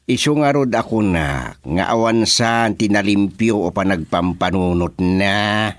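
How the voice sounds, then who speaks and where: A man's voice: lowish voice, measured pace, dry recording, close to an everyday voice. A man with an Ilocano Filipino accent playing an earnest, easily astonished village chairman and husband in a radio comedy drama. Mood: explaining.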